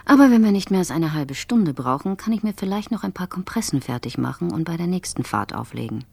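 Bossy self absorbed jet-set woman, radio play, quick low voice, really dry close sound. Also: lively intonation, creaky, a little mellow, breathy edge, dry recording, heavily acted. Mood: matter-of-fact, preoccupied. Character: brisk, self-absorbed, cosmopolitan woman traveller. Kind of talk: radio drama acting.

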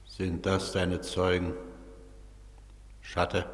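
An actor playing a solemn, stern judge of the underworld court in a German radio play, speaking with ceremonial weight. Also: male voice, sits low, completely flat delivery, extremely slow. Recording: long reverberant hall.

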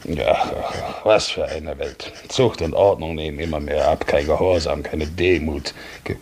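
A very low-voiced, domineering nobleman officer in 1950s radio drama style. He blusters and muses theatrically, suspicious and quick to turn. The old recording carries faint noise. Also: a little mellow. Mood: blustering, musing.

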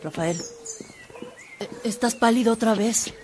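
An actress playing a priest's concerned, insistent sister in a radio drama. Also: female voice, a little high, unhurried, background noise, natural voice.

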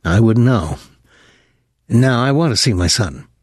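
A man's voice: medium pitch, expressive, unhurried, a little mellow, slightly rough, dry recording, clean studio sound. A man voicing a blunt, short-tempered father in an audiobook, curt and plain-spoken with a bitter edge.

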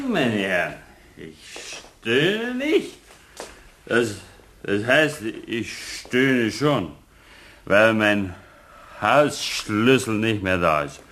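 A man's voice: medium pitch, huge pitch swings, bright, forceful tone, exaggerated voice. An actor playing a very drunk, muddled man in a German radio play, slurring and repeating himself solemnly. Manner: slurred, halting, repetitive.

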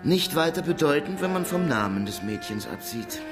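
Measured male detective. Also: fairly high pitch, big pitch swings, over music. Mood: dry, wry. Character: dry, dutiful male police detective, the chief's deputy. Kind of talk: German radio crime drama acting.

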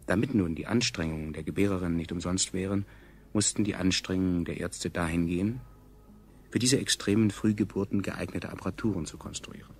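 A man acting as the coolly sardonic chronicler of a doomed science colony, narrating a radio play in a clinical, ironic deadpan. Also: deep voice, fairly quick. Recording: 1970s radio production, clear background noise.

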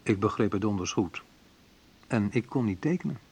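A wounded man telling of his own youth in a radio play. His voice is low and rough-edged. He speaks colloquially, in short, clipped sentences. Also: normal speed, a little mellow. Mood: bitter, sardonic. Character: bitter, wounded male narrator recalling his youth. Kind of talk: dramatised first-person narration, radio play.

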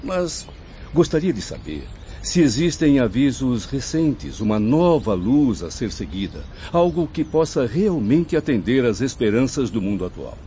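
A man's voice: medium pitch, sing-song, a little slow, mellow. A man acting a polite, curious listener seeking hope in a radio drama, formal and inquiring, with a Brazilian accent. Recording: dull lo-fi recording, background noise.

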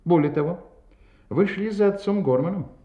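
An actor playing a courteous, methodical police inspector in an audio drama, speaking in a polite, deliberate and pointed way. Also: male voice, sits high, reverberant, lo-fi sound, lightly acted. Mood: formal, insistent. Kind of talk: audio drama acting, interrogation.

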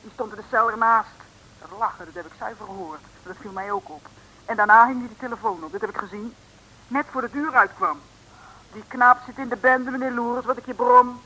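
A woman's voice: fairly high pitch, brisk, sharp tone, breathy edge, rough recording. An actress playing an observant, plucky female detective's assistant, eager and inquisitive, in a 1950s radio serial. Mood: assured.

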